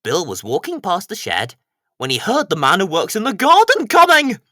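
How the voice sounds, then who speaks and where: A man's voice: sits high, wildly swooping pitch, moderate pace, sharp tone, dry recording, clean studio sound. A man reading scripted narration for a children's story as the storyteller.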